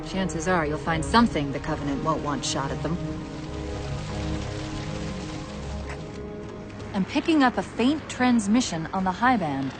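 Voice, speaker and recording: A woman's voice: fairly low pitch, lightly acted. A woman playing a composed, witty female AI companion in a video game, with an articulate, dry delivery. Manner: articulate, dry, tactical briefing with wry asides.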